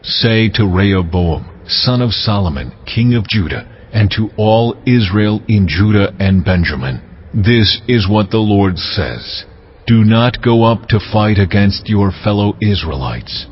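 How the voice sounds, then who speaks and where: A solemn prophet, low voice, slow declaiming, faint noise behind him. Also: male voice, animated. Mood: solemn, commanding. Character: solemn male prophet delivering God's command. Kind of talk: dramatized scripture acting. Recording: dull lo-fi, faint ambient noise.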